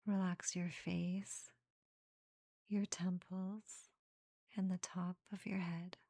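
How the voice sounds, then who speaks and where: A woman guides a meditation in a soft, breathy near-whisper. Her delivery is very slow and evenly pitched, with gentle short phrases separated by pauses. The tone is dark and mellow, made to soothe. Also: flat delivery, no room sound.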